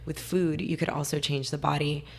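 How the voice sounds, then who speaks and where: A young woman from California, slightly hoarse, talking eagerly as a podcast guest. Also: low voice, flat delivery, moderate pace, poor audio. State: a little hoarse. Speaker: guest nutritionist. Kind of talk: casual interview talk.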